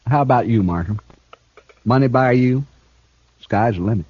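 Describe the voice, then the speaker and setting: An actor playing a cool, smart escaped killer and thief in a 1950s radio drama, talking with a smooth, taunting ease. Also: male voice, mid-range voice, moderate pace, no room sound, natural voice. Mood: smug, taunting. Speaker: outlaw prisoner. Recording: dull old radio broadcast recording.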